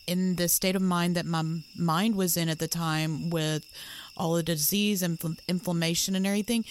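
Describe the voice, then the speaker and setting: A woman with a slightly low voice shares her experiences on a casual podcast. She speaks earnestly and searchingly, often breaking off and rephrasing. A faint hiss sits under the recording. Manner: earnest, searching, trailing restarts. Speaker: podcast co-host. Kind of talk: personal storytelling in conversation.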